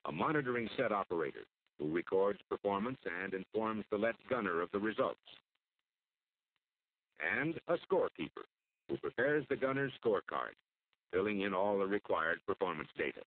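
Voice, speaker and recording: An American man delivers formal, measured scripted narration for an instructional military training film. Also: fairly low pitch, animated. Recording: muffled phone-like old film soundtrack.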